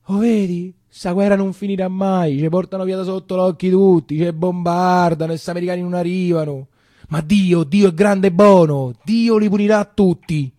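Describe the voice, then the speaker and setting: A man's voice: high-pitched, steady tone, normal speed, dark tone, no echo, studio quality, pitched above the performer's own voice, close to an everyday voice. A man voicing a weary, devout grandmother with a Roman accent, lamenting tearfully in a theatre monologue. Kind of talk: stage monologue character acting.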